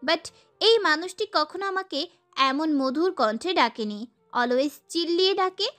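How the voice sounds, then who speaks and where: Young woman acting as feisty girl narrator, very high bright voice, expressive romance audio story. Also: measured pace, faint music behind, heavily acted. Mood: dramatic, musing. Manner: expressive, girlish. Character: sassy, feisty young girl telling her love story. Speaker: narrator and lead actress. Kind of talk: dramatised first-person romance story narration.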